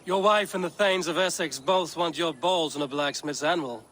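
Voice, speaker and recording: A man playing a blunt, steely Viking warrior in a game cutscene, with measured, terse, formal lines. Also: a little high, fairly bright tone, in a large hall, a little hiss or hum, lightly acted. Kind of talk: dramatic game cutscene acting.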